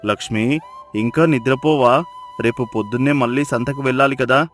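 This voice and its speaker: Man playing hardworking husband, mid pitch, admiring, tender, earnest, moral story. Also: steady tone, moderate pace, fairly bright tone, soft background music, natural voice. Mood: caring.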